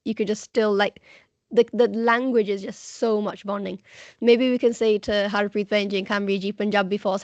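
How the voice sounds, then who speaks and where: A woman teaching the Punjabi language who talks in a chatty, enthusiastic way, with a croaky voice today, speaking on a video call. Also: mid-range voice, a little mellow, dry recording. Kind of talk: casual presentation on a video call. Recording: dull, lo-fi video call audio.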